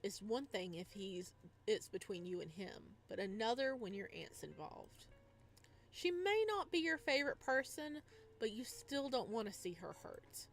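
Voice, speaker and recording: Woman streamer narrating a visual novel, kinda high voice, dramatic, soft music behind her. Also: very animated, measured pace. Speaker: game streamer. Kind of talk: expressive visual novel read-aloud with casual commentary.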